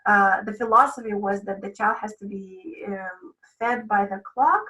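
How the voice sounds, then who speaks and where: A woman's voice: medium pitch, measured pace, pushed and bright, distant mic, rough recording. A woman from Russia, a guest sleep consultant speaking in a casual interview, thoughtful and hedging with many ums and restarts.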